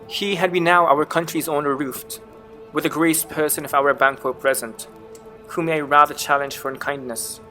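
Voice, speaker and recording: A man's voice: on the high side, fairly even intonation, normal speed, sharp tone, soft background music, poor audio. An actor playing a guilt-haunted, raving king in a dramatic reading of Shakespeare. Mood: courteous.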